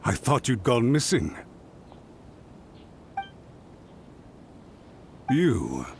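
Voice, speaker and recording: An earnest, animated male retainer. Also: medium pitch, measured pace, slight background noise. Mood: suspicious, stern. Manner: formal, earnest. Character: anxious, overprotective male retainer of a noble lady. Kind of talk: video game voice acting.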